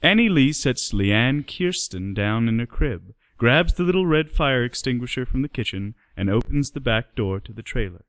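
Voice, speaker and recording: A man's voice: medium pitch, expressive, normal speed, hardly any pauses, fairly bright tone, no echo. A man narrating a radio play in scripted storytelling style.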